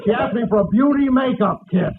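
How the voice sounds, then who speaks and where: An actor playing a blustering, pompous henpecked husband who complains and exclaims in 1940s radio comedy style. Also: male voice, very high-pitched, fairly quick, phone-quality sound, some chatter behind. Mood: exasperated grumbling. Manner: complaining, exclamatory comic delivery. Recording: noisy, thin old-time radio recording.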